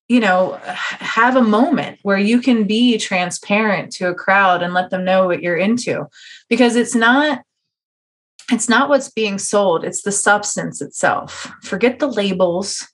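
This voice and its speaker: Earnest, encouraging woman hosting a podcast. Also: mid-range voice. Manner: earnest, encouraging, talks at length. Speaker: podcast host. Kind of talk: conversational podcast interview.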